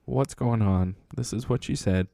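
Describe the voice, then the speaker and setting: A man's voice: lowish voice, normal speed, creaky, dark tone, dry recording. A man co-hosting a podcast in casual chat, joking about himself and then answering in a thoughtful way. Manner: self-deprecating, joking, thoughtful. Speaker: podcast co-host, Air Force veteran.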